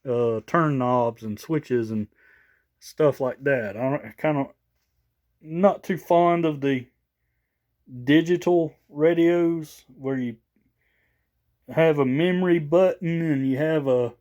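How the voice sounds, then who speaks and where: A man's voice: fairly high pitch, slow pace, pauses often, darkish tone. A slightly tired man, rambling casually in a folksy, unscripted show-and-tell for a vlog. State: a little tired after work. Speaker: vlogger showing off a new CB radio.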